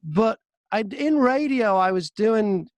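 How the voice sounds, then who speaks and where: A middle-aged British man with a fairly high voice talks casually in an interview. He is a chatty, self-deprecating storyteller with the ease of a radio presenter. The sound is dry, with very little room in it. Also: animated, slow pace, no room sound. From Britain. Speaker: voice-over artist and former radio presenter being interviewed. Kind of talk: casual anecdotal interview chat.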